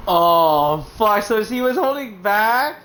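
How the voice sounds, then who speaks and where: Expressive, swearing woman streamer. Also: mid-range voice, takes it slowly, a little hiss or hum. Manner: reactive, swears a lot, exclaims loudly. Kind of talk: casual gaming commentary.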